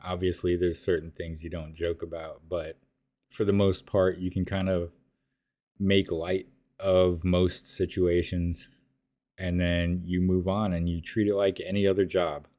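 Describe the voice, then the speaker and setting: A man hosting his own show, answering listener emails in a relaxed, conversational way with frequent fillers and restarts. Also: sits low, measured pace. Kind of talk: casual spoken monologue.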